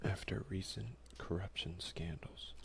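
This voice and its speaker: A man doing casual, hushed ASMR gaming commentary, soft-spoken and often slipping into a whisper, close to the mic. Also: sits low, unhurried, creaky. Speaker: let's play ASMR video creator.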